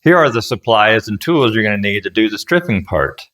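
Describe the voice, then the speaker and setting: Low-pitched man giving hands-on instruction. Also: lively intonation, fairly quick, fairly bright tone, slight background noise. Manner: plain-spoken, folksy, step by step. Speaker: furniture restorer and instructor. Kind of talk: casual hands-on how-to narration.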